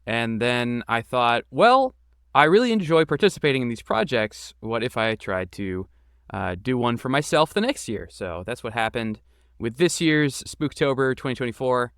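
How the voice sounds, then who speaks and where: A man's voice: medium pitch, animated, moderate pace, fairly bright tone, clear, resonant tone, no room sound, studio quality. A man answering interview questions casually as a podcast guest, with an informal, enthusiastic and self-deprecating manner. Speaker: podcast guest, game creator and voice actor.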